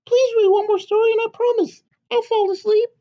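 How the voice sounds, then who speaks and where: A woman voicing an eager, excitable little girl chicken in a storybook read-aloud, squeaky and pleading. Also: fairly quick, lo-fi sound. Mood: apologetic, pleading. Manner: squeaky, exclaiming, childlike.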